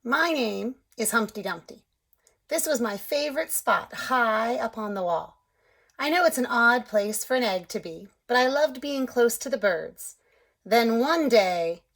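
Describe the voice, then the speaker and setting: A woman voicing a timid but hopeful young male egg in a storybook, speaking softly and dropping to a whisper at scared moments. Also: fairly high pitch, exaggerated voice.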